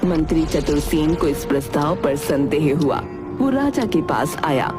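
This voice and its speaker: A woman's voice: deep voice, very animated, unhurried, music in the background. A woman reading scripted, dramatic story narration as a storyteller.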